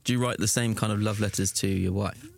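A man's voice: on the low side, steady tone, fast talker, creaky, a little hiss or hum. A man from southern England hosting a casual interview, teasing his guest in a playful way. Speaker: podcast host.

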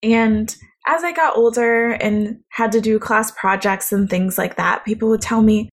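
A young woman with a naturally quiet voice, talking reflectively in a solo podcast monologue. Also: a little high, measured pace. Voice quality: quiet, soft-spoken voice. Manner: reflective, conversational, thoughtful. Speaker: podcast host.

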